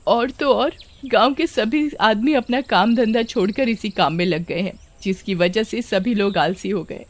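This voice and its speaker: A woman voicing expressive, exclamatory village women in a children's cartoon story. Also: medium pitch, a little slow, lightly acted. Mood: indignant. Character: village women, eager, chatty and indignant.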